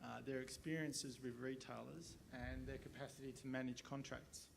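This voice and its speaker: A man's voice: mid-range voice, fairly even intonation, moderate pace, creaky. A man moderating a panel forum, speaking formally and projecting his short questions across a large conference room. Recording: echoey hall, distant mic, loud noise.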